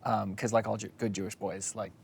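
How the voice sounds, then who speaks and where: A man's voice: on the low side, little pitch movement, fairly quick, vocal fry, slight background noise. A man in his thirties, a father of two young kids, telling a wry, self-deprecating story as a panel guest. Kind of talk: casual panel chat.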